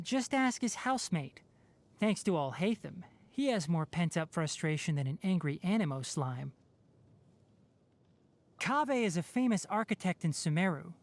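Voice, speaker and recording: Casting: a youthful male fox-eared forest scholar for a game, articulate, lecturing, dry-witted. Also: mid-range voice. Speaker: game character voice actor. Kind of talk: scripted game character voice lines.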